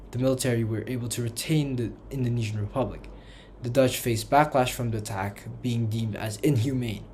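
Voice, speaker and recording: Guy reading his history script, steady mid voice, careful wording, bit of hiss behind. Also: medium pitch. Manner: reads from a script with careful, textbook phrasing. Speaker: student narrator.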